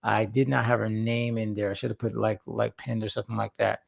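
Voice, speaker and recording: Slightly hoarse, gravelly-voiced male host. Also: fairly low pitch, flat delivery, a little fast. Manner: earnest, repeats words for emphasis, rambling asides. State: slightly hoarse after a long session. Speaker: host and founder of a faith-focused creative platform. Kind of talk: casual livestream talk to viewers.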